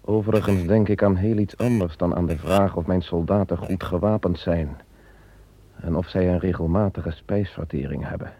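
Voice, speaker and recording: An actor playing a superstitious, brooding emperor in a radio play, speaking in a grave, measured way with a husky voice. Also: male voice, deep voice, dark tone, dry recording. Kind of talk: radio drama acting.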